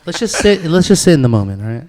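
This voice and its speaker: A man's voice: on the high side, big pitch swings, quick pace, a crowd in the background. A man co-hosting a casual podcast, talking in slangy, profane chat peppered with "bro" and "dude". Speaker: podcast co-host.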